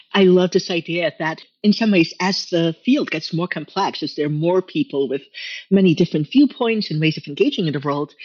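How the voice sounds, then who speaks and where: Husky woman interviewer on dull audio. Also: on the low side, no room sound. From immigrant. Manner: affirming, attentive. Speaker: interview host. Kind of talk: conversational interviewing. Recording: dull, lo-fi, dry sound.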